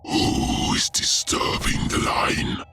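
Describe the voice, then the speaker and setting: A man voicing a horrible undead general in an audiobook, menacing yet grimly jovial, with a deep grinding rasp and drawn-out delivery. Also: heavy background noise, lightly acted. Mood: menacing, commanding. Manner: slow, drawn-out, darkly complimentary.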